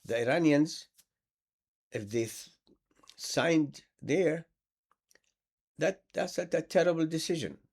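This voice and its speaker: Man, gravelly voice, swooping lively pitch, sarcastic preacher. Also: slow pace, long pauses. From Palestine. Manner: sarcastic, repeats words for emphasis. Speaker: Islamic preacher. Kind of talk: impassioned monologue.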